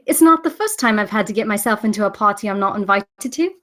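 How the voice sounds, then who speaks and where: A woman's voice: medium pitch, lively intonation, fast talker, fairly bright tone, natural voice. A woman at a script read playing a bold, cheeky young woman who can turn haughty and indignant. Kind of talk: screenplay table read acting.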